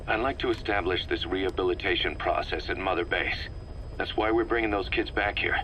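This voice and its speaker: Stern military second-in-command, sharp bright tone, lowish pitch, clipped pragmatic delivery, game briefing, faintly noisy cassette. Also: male voice. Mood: firm, instructive. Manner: brisk, matter-of-fact, clipped. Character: stern, pragmatic male military subcommander giving mission briefings. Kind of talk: video game briefing on audio tape. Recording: cassette recording with background noise.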